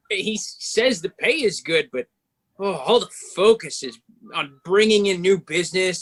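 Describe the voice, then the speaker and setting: Man acting Dick, a chatty, animated banker. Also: sits high. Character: Dick, chatty, wry man from banking circles. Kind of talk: scripted musical table read.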